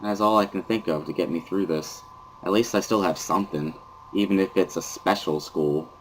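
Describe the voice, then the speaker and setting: A man's voice: sits low, normal speed, slight background noise, poor audio. A man giving a somber, weary first-person dramatic reading of a visual novel as narrator.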